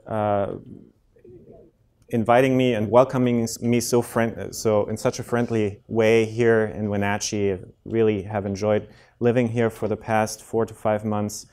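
A man's voice: on the low side, level tone, unhurried, a little mellow. A man in his thirties from Germany with a German accent, a cardiologist explaining a heart condition to a community audience in a lecture room, speaking into a handheld microphone, friendly and plain with many ums and restarts. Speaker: cardiologist giving a public health talk. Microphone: handheld and podium microphone.

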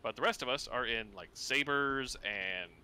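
A man streaming a flight simulator, chatting in a relaxed, matter-of-fact way. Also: mid-range voice, unhurried, clean tone. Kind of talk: casual gaming chat.

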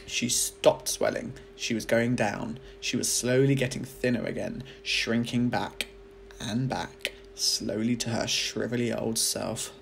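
A man reading a children's story aloud like a teacher, with expressive narration. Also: medium pitch, measured pace, vocal fry, slight background noise.

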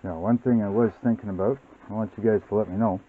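Man, very low voice, hobby tutorial host, casual rambling explanations, thin phone-like audio. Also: quick pace. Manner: relaxed, rambling, many fillers. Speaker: model-building channel host. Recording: tinny, phone-like sound.

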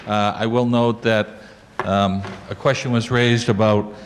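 Halting older man in echoey chamber. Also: lowish voice, flat delivery. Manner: halting, many fillers and restarts. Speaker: attorney presenting to a planning board. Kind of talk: explaining a lot plan at a public meeting. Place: council chamber with wood paneling. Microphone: handheld mic, walking around. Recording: echoey chamber with light noise.

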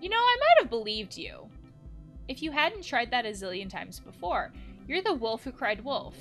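A woman's voice: on the high side, sing-song, normal speed, music in the background. A woman voicing a defiant, fed-up young person in a video game, with dramatic delivery. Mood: defiant, sarcastic.

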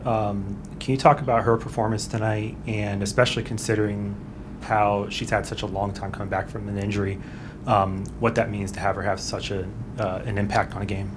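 A man's voice: on the low side, level tone, brisk, background noise. A man, a reporter, asking a direct question at a press conference.

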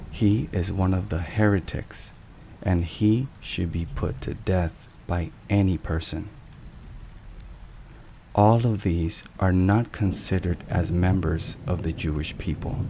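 A low-voiced man delivers scripted devotional narration in a hushed ASMR style. His delivery is very slow, measured and reflective. Rain and thunder are clearly heard behind him. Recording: steady rain and thunder noise. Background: rain and thunderstorm sounds.

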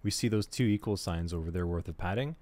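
A man teaching a cybersecurity lesson over a screen recording, talking through each step in a relaxed, conversational way and thinking aloud as he goes. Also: low voice, fast, no echo. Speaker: cybersecurity instructor. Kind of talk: screen-recorded tutorial walkthrough.

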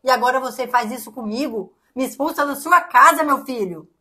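A woman's voice: sits high, fairly quick, sharp tone. A woman playing an indignant, domineering mother of a grown son in a domestic drama, guilt-tripping and dismissive, with a Brazilian accent. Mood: indignant, wounded.

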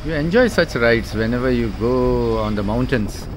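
Male travel vlogger, chatty, narrating sights on a phone cam over steady road noise. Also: medium pitch, normal speed. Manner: chatty, pointing out sights to viewers. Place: on a bus, then walking outdoors on a street. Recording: loud road and engine noise.

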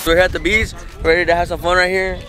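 A man's voice: high voice, little pitch movement, fast. A young man vlogging casually on a trip with friends, loose and slangy, switching between English and Spanish. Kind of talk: casual vlog chat. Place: outdoors at a beach town with friends. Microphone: handheld camera. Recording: loud music and crowd noise. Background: loud music and crowd.

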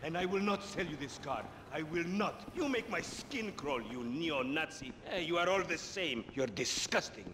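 Theatrical, oily car dealer boss played by an actor. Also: male voice, fairly high pitch, lively intonation, moderate pace, hardly any pauses, noisy background, natural voice. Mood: indignant. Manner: theatrical, effusive, salesman-like. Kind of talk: video game voice acting.

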